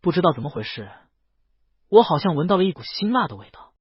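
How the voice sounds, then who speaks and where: Casting: timid, innocent young male dragon played by a man, slightly high and husky, hesitant, audio story dialogue. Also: sing-song, fast, dry recording, highs cut off, exaggerated voice. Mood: timid, puzzled. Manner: hesitant, meek, soft-spoken.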